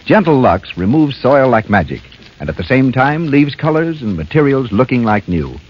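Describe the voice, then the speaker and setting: A man's voice: mid-range voice, sing-song, normal speed. A man reading scripted announcements as a 1930s radio announcer. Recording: muffled 1930s radio recording.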